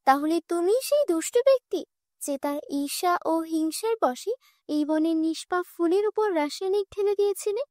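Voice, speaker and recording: A woman's voice: very high voice, darkish tone, no echo, clean studio sound. A woman voicing a righteous, solemn fairy in a cartoon fairy tale. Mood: stern. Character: righteous female fairy, kindly to good, stern with wrongdoers.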